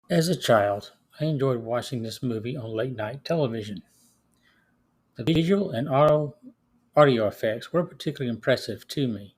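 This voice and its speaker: A man's voice: medium pitch, breathy edge. A middle-aged or older man hosting a podcast, reading a scripted film review with the occasional stumble. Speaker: podcast host.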